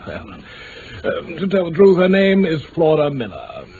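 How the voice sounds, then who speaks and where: An actor with an upper-class English accent playing a stiff, haughty lord, hesitant and condescending, in mid-century radio drama style. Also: male voice, a little high, wildly swooping pitch, a little slow, dark tone, exaggerated voice. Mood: embarrassed. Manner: hesitant, pompous, formal. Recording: dull, hissy old radio recording.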